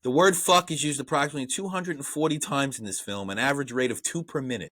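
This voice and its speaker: A man's voice: medium pitch, quick pace, sharp tone, dry recording. A man with a Brooklyn accent co-hosting a podcast, chatting casually and profanely about an old movie and reading trivia aloud. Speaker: podcast co-host. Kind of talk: casual movie review chat.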